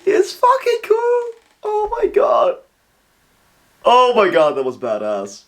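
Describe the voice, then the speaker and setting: Excitable young guy reacting to game clips, cursing, lots of um and like. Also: very high voice, slow. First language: Dutch. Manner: excitable, swears, lots of fillers. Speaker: reaction video host. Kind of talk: casual reaction commentary.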